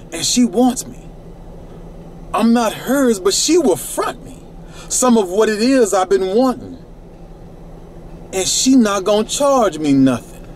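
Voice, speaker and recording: A man's voice: a little high, a little mellow, a little hiss or hum. A middle-aged man reciting his own rhyming spoken word poetry in a laid-back, rhythmic way from inside a parked minivan at night.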